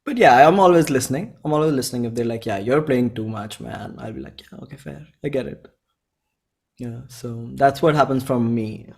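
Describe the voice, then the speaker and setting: A man's voice: medium pitch, normal speed, creaky, darkish tone. A man in his twenties with an Indian accent, chatting informally as an interview guest, circling back and repeating phrases as he explains. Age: 20s. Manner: informal, rambling, repeats phrases, acts out bits of dialogue. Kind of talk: casual conversational interview.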